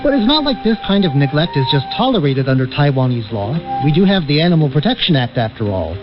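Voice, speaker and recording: A man's voice: on the high side, very animated, quick pace. A man narrating a scripted radio feature as its reporter, in a storytelling, rhetorical manner. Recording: muffled narrowband shortwave radio, music behind. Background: music.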